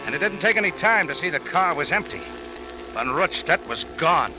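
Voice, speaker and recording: An actor playing a tough American adventurer and narrator in a wry, terse 1940s radio style. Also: male voice, a little high, lively intonation, moderate pace, over loud music, close to an everyday voice, rough recording. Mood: narrating. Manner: wry, terse, hard-boiled delivery. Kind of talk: 1940s hard-boiled radio acting.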